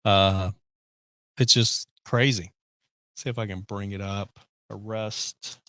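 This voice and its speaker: A man's voice: on the low side, fairly even intonation, slow pace, bright, forceful tone, dry recording. Rambling male podcast host. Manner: rambling, reads viewer comments. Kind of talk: casual live-stream chat. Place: home office.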